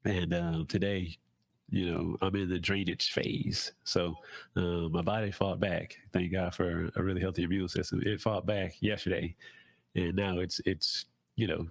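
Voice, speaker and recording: Stuffy nasal guy with a cold, deep and gravelly, rambling solo podcast chat. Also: level tone, moderate pace, no echo. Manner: rambling, many fillers and restarts. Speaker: podcast host. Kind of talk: casual solo talk.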